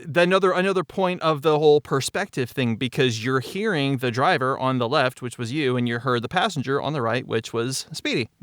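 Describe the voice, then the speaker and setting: A man with a medium-pitched voice explains production work in an informal way. He talks haltingly, repeating phrases as he goes, with a close, dry sound. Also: fairly bright tone, clean tone, dry recording. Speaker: show creator and audio editor. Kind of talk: casual behind-the-scenes talk.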